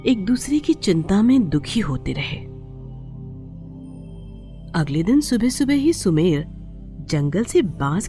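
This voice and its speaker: A woman's voice: medium pitch, warm tone. A woman narrating a scripted moral story for children as the storyteller.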